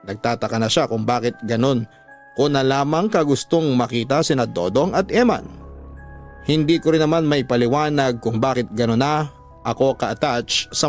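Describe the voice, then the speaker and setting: Male narrator for radio drama, medium pitch, measured dramatic first-person storytelling, laid over a music bed.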